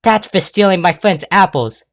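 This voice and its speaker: A man reading a story aloud and voicing a brash, tomboyish young pegasus mare who yells when angry. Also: on the high side, very animated, no room sound, clean studio sound, voice pushed up. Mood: furious. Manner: loud, indignant, quick to yell.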